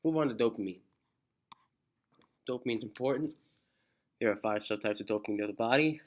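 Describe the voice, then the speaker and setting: A congested man reading a study outline aloud, halting and restarting on technical words. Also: fairly low pitch. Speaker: student presenter.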